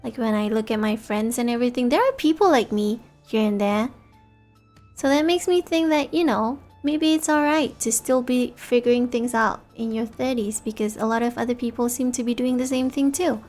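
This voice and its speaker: A woman's voice: a little high, normal speed, darkish tone, soft background music. A woman in her thirties, a native speaker of English and Malay, chatting reflectively with viewers late at night, rambling and correcting herself as she goes. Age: 30s. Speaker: VTuber streamer.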